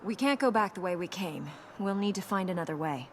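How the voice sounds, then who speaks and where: An actress playing a serious, determined young princess in a video game, earnest and dryly sharp-tongued. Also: female voice, mid-range voice, expressive, a little fast, a little hiss or hum, lightly acted. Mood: matter-of-fact. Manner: earnest, dry, blunt.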